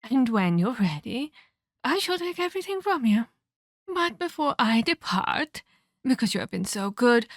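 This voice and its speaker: A woman's voice: on the high side, no echo. Woman playing a condescending ancient vampire mentor, close and dry. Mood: menacing. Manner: condescending, teasing, measured, addresses listener as child. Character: ancient female vampire, patronizing, scolding mentor with veiled menace. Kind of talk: ASMR audio roleplay.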